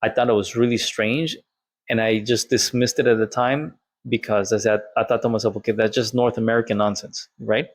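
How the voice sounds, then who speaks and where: A man's voice: fairly low pitch, fairly even intonation, brisk. A man, telling a personal story in a candid, conversational monologue and quoting what others said. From Colombia. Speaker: vlogger.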